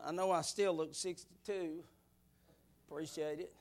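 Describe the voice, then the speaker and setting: A man in his sixties preaching a sermon, building rhythmic repeated lines into shouted bursts, then dropping to quiet, folksy asides. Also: on the high side, steady tone, measured pace. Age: 60s.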